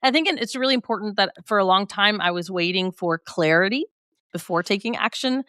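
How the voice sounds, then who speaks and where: Upbeat woman guest, smooth dry voice. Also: medium pitch, expressive, brisk, sharp tone, no room sound. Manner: reflective and upbeat, laughs often mid-sentence. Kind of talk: conversational interview.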